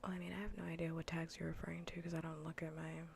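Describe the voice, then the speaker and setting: Low, creaky, whispery woman streamer. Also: level tone, rapid-fire, a little hiss or hum. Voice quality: soft, breathy, often whispered. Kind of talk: soft-spoken ASMR gaming livestream chat.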